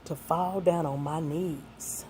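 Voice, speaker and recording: A woman's voice: low voice, fairly even intonation, takes it slowly, dark tone, slight background noise. A woman in her sixties, a single mother and grandmother, giving young people earnest, folksy life advice that mixes faith with plain street talk.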